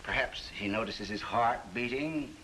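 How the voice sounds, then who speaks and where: A man playing a calm, reassuring psychiatrist in a 1940s training film, explaining things in a measured way. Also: mid-range voice, a little hiss or hum, close to an everyday voice, low-quality recording.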